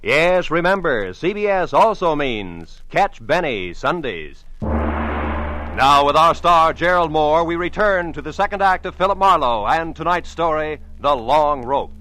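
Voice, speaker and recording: A man announcing a radio drama in a booming, emphatic old-time style. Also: sing-song, measured pace. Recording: noisy old radio broadcast.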